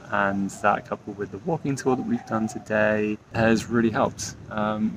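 A man in his thirties giving measured explanations as a travel vlogger, filmed on a handheld phone. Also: on the low side, noisy background. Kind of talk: casual travel vlog. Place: outdoors at temple grounds. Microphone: phone camera at arm's length.

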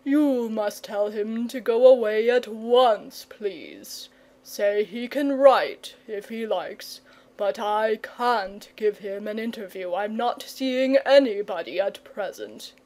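Storybook dragon, lazy and timid, slow drawl, lower and hazier than the narrator, as a woman puts him on. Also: expressive, a little mellow. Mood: plaintive. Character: lazy, languid old male dragon, gentle and timid.

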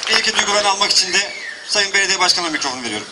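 A man, the cafe owner, giving a quick, grateful thank-you speech outdoors at the opening. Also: high-pitched, animated, a loud crowd, very noisy.

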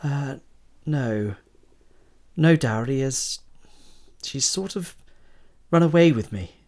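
A man plays a lovestruck young artist in a period audio drama. His medium-pitched voice moves up and down animatedly, eager and quick to apologise. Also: expressive, a little mellow. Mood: sheepish.

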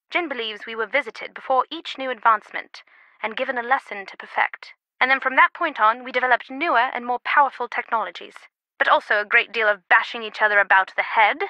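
A woman's voice: fairly high pitch, bright, forceful tone, dry recording, poor audio. A woman playing a worldly, wry antiquities expert in an adventure game, talking in a conversational, confiding way. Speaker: video game voice actor. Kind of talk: scripted adventure game dialogue.

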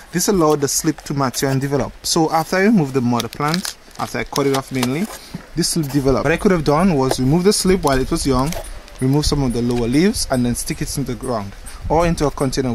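A man's voice: mid-range voice, slight background noise. A young man, casually explaining how he grows plants while standing outdoors in his backyard garden, close to the camera. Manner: relaxed explanatory, points at the plant as he talks. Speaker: gardening channel host. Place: outdoor backyard garden beside a hoop house. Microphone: camera close up at face level.

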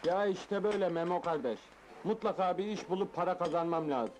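A man's voice: high voice, fairly quick, a little mellow, a little hiss or hum, close to an everyday voice. An actor playing a plain-spoken, pleading man from the provinces in a comedy film, talking colloquially and plaintively. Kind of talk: Turkish comedy film acting.

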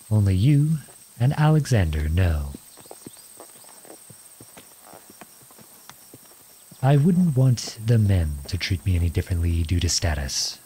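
A man playing a gentle, chivalrous young outlawed prince in a romantic fantasy audio roleplay, speaking in formal storybook phrases. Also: low voice, slight background noise. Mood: guarded, confiding. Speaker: ASMR roleplay voice actor. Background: horse hooves clip-clopping.

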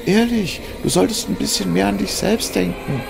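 A man's voice: high-pitched, animated, normal speed, vocal fry, background noise, voice pushed up, heavily acted. A man voicing a drunken, nagging woman with a pushy, slangy way of talking, in an audio story. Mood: drunken coaxing.

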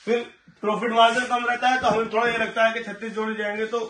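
A man in his thirties talks through his shoe stock in a high voice, keeping up a steady seller's patter. He stands some way from the mic, and the bare warehouse around him rings with echo. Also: fast, a little hiss or hum. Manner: pitching stock, repeating "thik hai ji". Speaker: shoe wholesaler. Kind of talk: sales pitch to camera. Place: large tiled warehouse stacked with shoe boxes. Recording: echoey warehouse, mic at a distance.

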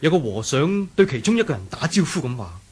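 A man's voice: fairly high pitch, sing-song, brisk, darkish tone, breathy edge. A man with a Hong Kong Cantonese accent playing a loyal, watchful rebel fighter who reports earnestly in a radio drama. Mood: excited, reporting. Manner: earnest, vivid storytelling. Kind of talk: 1980s radio drama acting.

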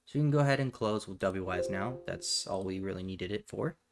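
A man hosting a step-by-step software tutorial in a casual, plainspoken way, recorded indoors at a computer. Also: low voice, a little fast, gravelly, noisy background. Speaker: tutorial host. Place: indoors at a computer, voice over screen capture.

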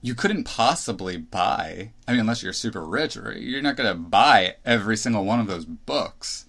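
A man's voice: fairly low pitch, gravelly, fairly bright tone. A man in his thirties hosting a solo podcast, thinking aloud with restarts and asides as he gives his opinions. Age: 30s. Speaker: podcast host.

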